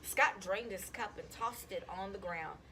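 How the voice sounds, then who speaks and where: A woman reading a children's chapter book aloud in an expressive, storytelling style. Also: medium pitch, unhurried, slight background noise. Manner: animated, acts out dialogue. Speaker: school counselor reading aloud to students.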